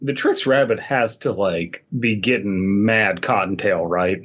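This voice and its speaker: A man's voice: fairly low pitch, a little slow, fairly bright tone, lo-fi sound. A man co-hosting a podcast, talking in a casual, joking way. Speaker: podcast host.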